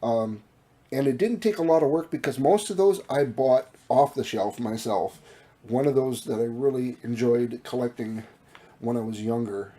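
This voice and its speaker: A man's voice: mid-range voice, warm tone. A man losing his voice talks casually about his new comic books, rambling with many fillers and restarts. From Minnesota. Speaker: comic book vlogger. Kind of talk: casual show-and-tell vlog. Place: home room with collectibles.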